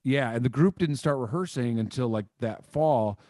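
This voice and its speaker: A man in his thirties from Michigan tells stories of his early years as a musician, talking in loose, rambling bursts full of fillers. His voice sits at an ordinary middle pitch, close and dry on a boom-mounted studio mic in a small room at home. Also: normal speed, no echo. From Michigan, USA. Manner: animated, rambling, lots of "like" fillers and gestures. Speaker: guest musician. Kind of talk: casual interview storytelling. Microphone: studio mic on boom arm, video call.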